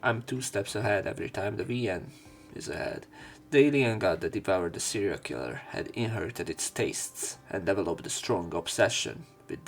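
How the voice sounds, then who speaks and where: A man hosting a visual novel let's play, reading the text aloud with casual asides and occasional self-corrections over game music. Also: fairly low pitch.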